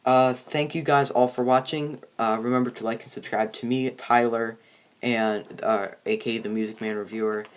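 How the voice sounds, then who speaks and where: Man, mid-pitched, casual review host who rambles and trips over words. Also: steady tone, normal speed, smooth. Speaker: host of a movie review channel. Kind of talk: casual movie discussion.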